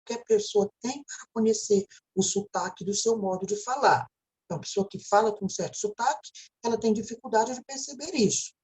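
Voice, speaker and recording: A woman's voice: medium pitch, a little fast, a little mellow, low-quality recording. A woman with a Brazilian Portuguese accent gives a recorded video lecture, explaining step by step and often checking in with a tag question. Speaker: teacher.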